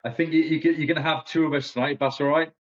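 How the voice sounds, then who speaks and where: A man's voice: fairly high pitch, steady tone. A British band member making casual, friendly small talk on a video call, often restarting his sentences. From Britain. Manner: chatty, friendly, halting restarts. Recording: dull lo-fi call audio.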